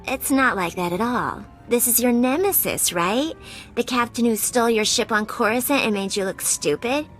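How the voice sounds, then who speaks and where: A woman's voice: on the high side, normal speed, fairly bright tone, soft background music, close to an everyday voice. A woman playing a flirty, deceitful, lovesick starship captain in a video game, with a coy, sugary manner. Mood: flirty, gleeful. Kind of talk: video game cutscene acting.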